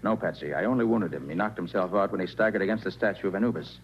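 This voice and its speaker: Actor as a brisk, confident radio detective man. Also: deep voice, natural voice. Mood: explaining. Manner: brisk, crisp, authoritative. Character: confident, brisk male private detective in charge. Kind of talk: 1940s radio acting. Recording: muffled, noisy 1940s radio recording.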